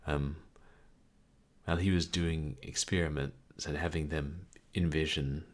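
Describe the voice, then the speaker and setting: Very deep, calm-voiced male streamer. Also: unhurried. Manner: soft-spoken, calm, rambling with fillers. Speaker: game streamer. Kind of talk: casual live-stream commentary.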